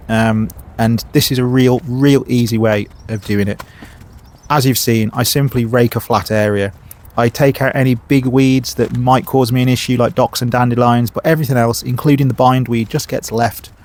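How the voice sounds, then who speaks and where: A man with a cold, a little sniffly, giving gardening tips outdoors at his allotment. He talks in a relaxed, chatty way, often pausing on uhs and ums, at an average pitch for a man. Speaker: gardening channel host. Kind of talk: casual how-to vlog. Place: outdoor allotment by a main road.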